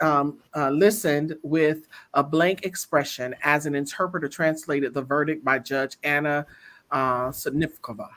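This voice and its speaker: A woman with a deep, resonant voice co-hosting a casual talk show, reading news aloud and then talking it over earnestly, with many restarts.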